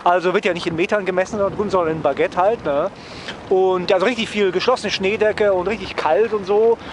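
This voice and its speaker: Male travel vlogger, raised pitch, wry off-the-cuff chat, recorded outdoors with faint background noise. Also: fairly even intonation, a little fast. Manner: wry, joking, often ends phrases with a questioning "ne?". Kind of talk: casual, rambling vlog chat to viewers.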